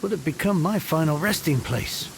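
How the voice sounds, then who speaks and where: A man's voice: on the high side, lively intonation, moderate pace, creaky, background noise. A man playing an earnest, humble, effusive heir of a fallen house in a video game. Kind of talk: English video game voice acting.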